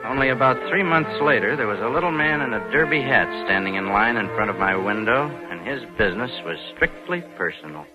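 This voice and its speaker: A man's voice: mid-range voice, lively intonation, brisk, hardly any pauses, natural voice. An actor playing a glib, cynical American con man in a 1940s radio crime drama. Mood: wry narrating. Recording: dull vintage radio recording, organ music.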